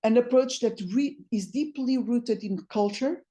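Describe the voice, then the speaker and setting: A Portuguese woman for whom English is a second language delivers an academic lecture over a video call. Her voice sits a little high and sounds mellow. She reads from notes and stumbles over words, often restarting. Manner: halting, many restarts. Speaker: guest lecturer. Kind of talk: academic talk read from notes.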